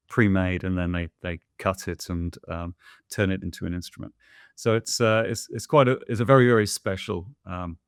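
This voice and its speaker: Gravelly low man, thoughtful and hesitant. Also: no echo, clean studio sound. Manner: thoughtful, hesitant, many fillers and restarts. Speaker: guest answering viewer questions. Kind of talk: casual conversational interview.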